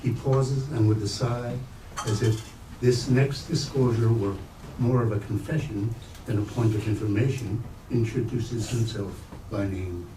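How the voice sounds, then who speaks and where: Male reader, literary prose, dark soft tone, picked up from a distance in an echoing room. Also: on the low side, fairly even intonation, a little slow. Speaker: reader at a staged book reading. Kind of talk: literary prose reading aloud. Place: bookstore event space, indoors. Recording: roomy, distant mic, faint noise.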